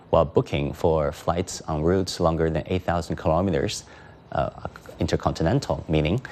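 A man's voice: low voice, darkish tone, slight background noise. A man hosting a panel discussion, reading out figures and then putting questions to his guests. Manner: reads statistics, then poses questions. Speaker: show host.

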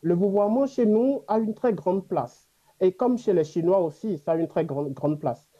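A man's voice: high voice, animated, normal speed, warm tone, lo-fi sound. A man from Africa, a native speaker of an African language, answering in an unscripted panel interview, reflective and earnest, with hesitations. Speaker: interview guest living in China.

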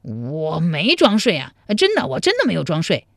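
A woman's voice: low-pitched, huge pitch swings, normal speed, gravelly, dry recording, studio quality, heavily acted. A woman voicing a sly, teasing young suitor in a storybook, wheedling and mock-meek. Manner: teasing, wheedling, laid-back. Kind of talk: dramatized storybook dialogue.

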